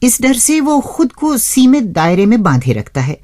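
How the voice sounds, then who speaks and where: A woman's voice: a little high, sing-song, normal speed, a little mellow. A woman hosting a radio show, reading a scripted narration expressively and addressing listeners as friends.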